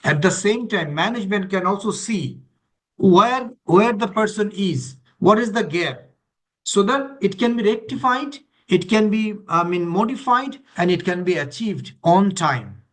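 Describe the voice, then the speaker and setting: A man's voice: sits high, expressive, unhurried. A man leading a training session, explaining in an emphatic, list-like way and checking that listeners follow. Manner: emphatic, repetitive listing, checks understanding with questions. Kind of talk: explanatory teaching to online participants.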